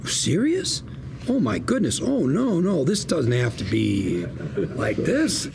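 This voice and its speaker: An actor at a table read playing a desperate, fast-talking lawyer with an urgent, pleading manner. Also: male voice, on the high side, wildly swooping pitch, vocal fry, heavily acted. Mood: fake-friendly. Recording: noisy table read room.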